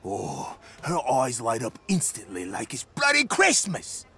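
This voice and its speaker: A man playing a hungry, excitable young drifter in a video game, grumbling in a raspy, gravelly voice and jumping to yelps. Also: medium pitch, a little slow, heavily acted. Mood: content, musing. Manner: slouchy grumbling that jumps to yelps. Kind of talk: video game cutscene acting.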